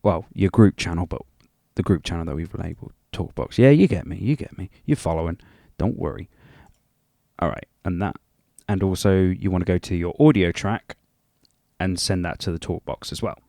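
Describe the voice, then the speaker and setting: A man's voice: deep voice, normal speed, gravelly, dark tone, dry recording, studio quality. A man giving an informal music production tutorial in a rambling, chatty and self-deprecating way. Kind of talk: informal software tutorial narration.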